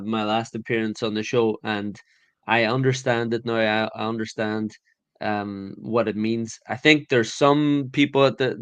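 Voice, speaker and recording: Irish man, podcast guest, halting casual talk, mid-pitched voice, dry close sound. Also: fairly bright tone, clear, resonant tone, no echo. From Ireland. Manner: hesitant, many fillers and restarts. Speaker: podcast guest, conference founder.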